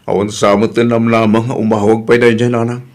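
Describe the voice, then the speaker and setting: A man's voice: lowish voice, flat delivery, fairly quick, close to an everyday voice. A man with an Ilocano accent acting in an audio drama as a hurt, weary but resolute husband and father. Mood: serious, weary. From Philippines.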